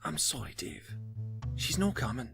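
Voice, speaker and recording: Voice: medium pitch, very animated, a little slow, creaky, a bit bright, soft background music, heavily acted. An actor playing a plucky, protective young lad in an audio drama, urgent and pleading. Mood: coaxing, pleading. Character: plucky, protective young lad looking after an old man.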